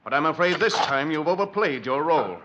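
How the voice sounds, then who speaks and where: A man's voice: fairly high pitch, animated, fairly quick, background noise, lightly acted. An actor playing a guilt-ridden, grimly determined wealthy man in a 1940s radio horror drama, with an earnest, dramatic delivery. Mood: stern, suspicious. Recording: dull, lo-fi vintage 1940s radio recording.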